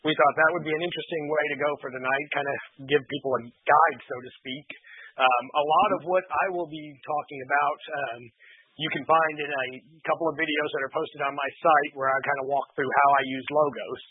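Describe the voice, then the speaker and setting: A man hosting an online talk radio show, congested from allergies and a little raspy, explaining things in a relaxed, rambling way. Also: a little high, no room sound. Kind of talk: casual talk radio chat. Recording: dry, tinny phone-like line.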